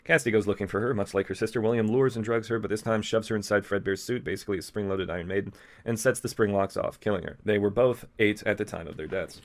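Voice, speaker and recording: A man's voice: lowish voice, quick pace. A man hosting a comedic read-aloud of fan fiction, slipping in mocking asides and ad-libbed jokes. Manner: mocking, ad-libs jokes while reading.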